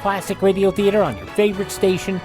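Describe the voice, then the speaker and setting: A man's voice: high voice, flat delivery, quick pace. A man hosting a radio show, giving a courteous, folksy scripted sign-off with casual asides. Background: music.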